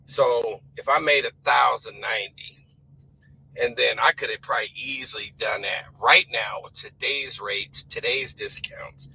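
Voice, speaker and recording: A man with a cold talks to his camera in a rough, raspy voice that crackles with fry. His tone is strikingly bright and forceful as he rambles in a folksy, emphatic way about his trucking costs. A faint hum of noise sits under the recording. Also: medium pitch, unhurried. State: hoarse from a cold. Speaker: owner-operator truck driver. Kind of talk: casual vlog talk to camera.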